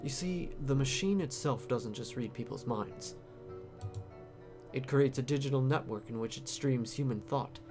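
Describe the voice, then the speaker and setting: A guarded, calculating man from a visual novel, read out by a male player. He bargains in a coolly casual, pressing way at a middling pitch, with faint music underneath. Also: normal speed, natural voice. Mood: measured, explanatory.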